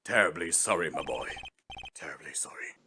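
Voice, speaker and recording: A man voicing a pompous, blustering older lawyer in a video game fan dub, theatrical and evasive, with hums and throat-clearing. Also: low voice, lively intonation, normal speed, bright, forceful tone, a little hiss or hum. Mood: grave, regretful.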